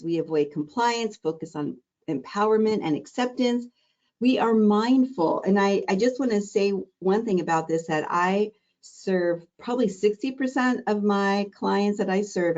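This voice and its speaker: A middle-aged woman, a diabetes educator, teaching an exam-prep webinar in a warm, conversational, encouraging way. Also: medium pitch, lo-fi sound. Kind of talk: live online exam-prep lesson.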